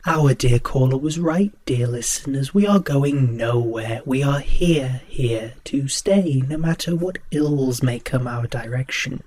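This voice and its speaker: A man's voice: mid-range voice, unhurried, hardly any pauses, a little mellow, close to an everyday voice. A man playing a sinister, courtly host of a ghostly horror radio show, speaking in a grandiose, formally polite way. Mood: ominous, grandiose. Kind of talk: theatrical horror radio acting.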